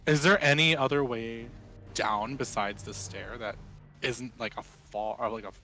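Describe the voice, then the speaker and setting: Casual male tabletop player, medium pitch. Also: a little slow, sharp tone, soft background music. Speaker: player in a tabletop roleplaying game. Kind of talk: casual game chat, describing a character's actions.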